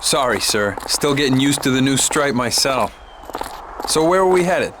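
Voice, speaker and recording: An actor playing an uneasy, doubtful junior soldier in a war video game, with a questioning, expressive delivery. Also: male voice, normal speed, lightly acted. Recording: loud music over game dialogue. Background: loud music, vehicle rumble.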